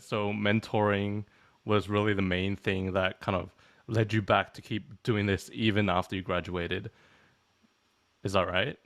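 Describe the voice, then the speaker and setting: Young Malaysian-born male host, low voice, loose and chatty. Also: fairly bright tone. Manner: chatty, filler-heavy. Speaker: podcast host. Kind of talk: casual podcast interview.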